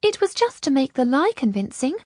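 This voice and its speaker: A woman's voice: sits high, sing-song, a little fast, darkish tone, no room sound, clean studio sound, pitched above the performer's own voice. A woman voicing an emotional, tender-hearted young noblewoman in an audiobook. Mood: shaken, earnest. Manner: pleading, emotional.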